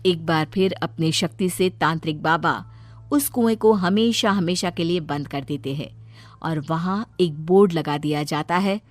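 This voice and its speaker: A woman storyteller giving scripted narration for an animated children's scary story. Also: deep voice, measured pace, no room sound.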